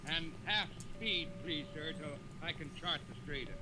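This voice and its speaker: An actor playing a steady, cautious navigation officer with crisp military delivery in 1950s radio drama. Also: male voice, a little high, normal speed, lightly acted. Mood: crisp, businesslike. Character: steady, cautious male navigation lieutenant on a warship. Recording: noisy old radio recording.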